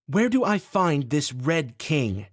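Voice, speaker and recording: A fierce, blunt young woman who cuts straight to her demands, as a male narrator puts her on. The voice is pushed up noticeably higher and louder than the reader's own. The recording stays close and dry. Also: big pitch swings, slow pace, dry recording, exaggerated voice. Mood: demanding. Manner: curt, demanding.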